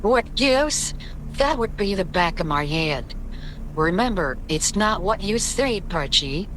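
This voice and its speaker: A woman playing a bossy, sharp-tongued wife in 1940s-style radio comedy. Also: medium pitch, lively intonation, takes it slowly, slight background noise, rough recording. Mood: scolding.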